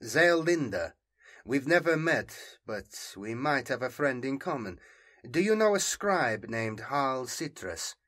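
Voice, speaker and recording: A man's voice: medium pitch, big pitch swings, a little slow, fairly bright tone. A man voicing an earnest, diligent male scribe in an audiobook, with precise, hesitant manners. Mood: polite.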